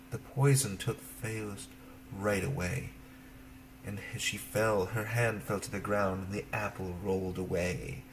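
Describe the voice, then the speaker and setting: A man narrating a children's fairy tale as an expressive storyteller, in simple phrases. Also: low voice, slight background noise, rough recording.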